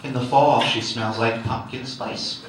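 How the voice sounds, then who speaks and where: A man's voice: mid-range voice, steady tone, a little slow. A man reciting a playful rhyming poem on stage, laughing between lines. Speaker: teacher reading a poem on stage. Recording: very echoey hall, distant mic, loud noise.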